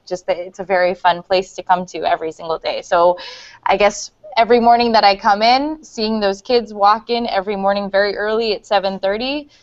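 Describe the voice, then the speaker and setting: A woman's voice: medium pitch, no room sound. A woman school leader speaking earnestly and with feeling in a panel chat, with some restarts. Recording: dull lo-fi sound.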